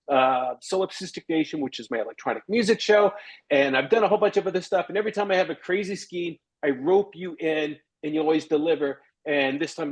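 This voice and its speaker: A man hosting a casual interview and retelling events in an excited, storytelling way. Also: a little high, breathy edge, poor audio. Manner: enthusiastic, tells stories with quoted dialogue. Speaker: host.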